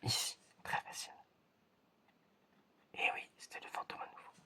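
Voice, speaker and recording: A fully whispered ASMR voice with a bright, crisp edge. The speaker plays an examiner in a casual, informal way, gently repeating words and encouraging the listener through a roleplay test. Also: moderate pace. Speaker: ASMR creator playing an examiner.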